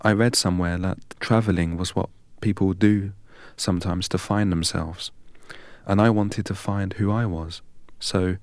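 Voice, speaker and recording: A man from England, a guest in an interview, telling his story in a measured, deliberate way. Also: sits low, steady tone, a little mellow, dry recording. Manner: measured and deliberate, recites long strings of numbers evenly.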